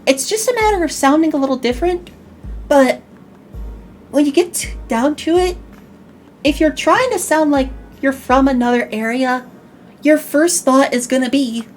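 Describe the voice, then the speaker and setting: A woman's voice: high-pitched, moderate pace, pauses often, soft background music. A woman host with a slight Chicago accent, chatting animatedly on an online talk show, often restarting her words. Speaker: podcast host. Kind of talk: casual online chat show.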